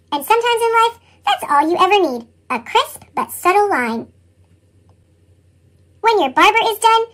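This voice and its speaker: A woman voicing a perky little chipmunk who reads a picture book aloud expressively, with a sped-up, pitch-shifted effect. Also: a bit bright. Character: perky little cartoon chipmunk telling a story. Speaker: storybook reader.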